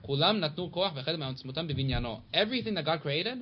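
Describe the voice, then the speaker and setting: A man teaching a casual Torah class to a small study group, chatty and quick, reading Hebrew and Aramaic passages aloud. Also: on the high side. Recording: dull lo-fi recording with steady background noise.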